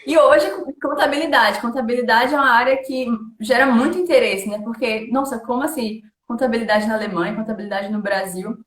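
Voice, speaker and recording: A woman's voice: on the high side, a little fast, hardly any pauses, fairly bright tone. A woman from Salvador, hosting a live-stream interview in a chatty, friendly way and laughing often. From Salvador, Bahia, Brazil. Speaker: host, German teacher. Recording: echoey room.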